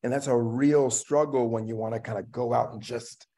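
A middle-aged man speaks at a medium pitch in casual conversation over a video call. He is reflective and halting, often repeating a word as he works toward his thought. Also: fairly even intonation, warm tone. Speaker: actor, panel guest. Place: room at home, on a video call.

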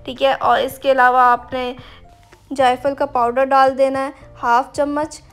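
A woman's voice: high-pitched, flat delivery, unhurried, faint music behind. A woman giving a chatty, step-by-step cooking voice-over, leaning on filler phrases as she explains each step. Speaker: cooking vlogger.

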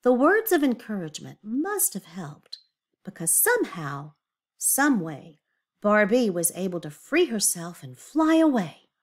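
A woman's voice: medium pitch, very animated, unhurried. A woman reading a picture book aloud to young children, expressively, with emphatic words and confiding asides and questions. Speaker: children's read-aloud teacher.

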